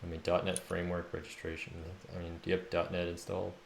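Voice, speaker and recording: Man, low-pitched, fry in the voice, casual coding mutter, roomy echo, keyboard clicks and noise. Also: monotone, quick pace. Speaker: game developer streaming his work. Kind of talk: casual chat while coding, muttering to himself. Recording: echoey room, noisy, keyboard clicks. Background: typing and mouse clicks.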